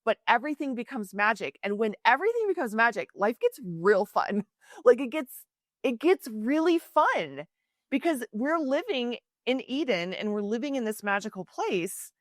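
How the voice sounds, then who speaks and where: A woman's voice: a little high, very animated, moderate pace, dry recording, studio quality. A woman hosting a podcast interview, talking in an earnest, emphatic and reflective way. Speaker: podcast host. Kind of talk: conversational interview.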